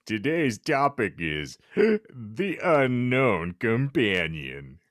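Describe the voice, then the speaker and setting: A man co-hosting a casual podcast, bantering and reading aloud with deliberate emphasis and joking asides. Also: mid-range voice, sing-song, no echo, clean studio sound. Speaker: podcast co-host.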